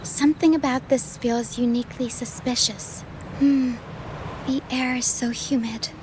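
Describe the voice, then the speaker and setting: High-pitched, earnest game girl. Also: steady tone, a little slow, noisy background. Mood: musing. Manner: earnest, musing. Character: hopeful, earnest young girl, whimsical and determined. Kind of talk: video game voice acting. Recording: music behind the voice. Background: music.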